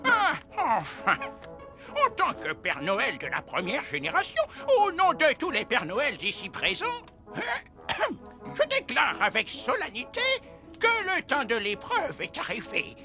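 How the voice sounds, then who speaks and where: A man's voice: extremely high pitch, wildly swooping pitch, measured pace, bright, forceful tone, faint music behind, full character voice. An actor, a man, playing an ancient first-generation Santa Claus in a French children's cartoon, pompous, absent-minded and doddering. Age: elderly.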